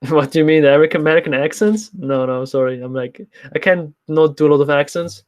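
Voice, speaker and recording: A man's voice: a little high, a little fast. A young man who is not a native English speaker, casually answering viewer questions as host of a livestream Q&A in an informal way. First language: not English, speaks French as a second language. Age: young adult. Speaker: nutrition coach, livestream host.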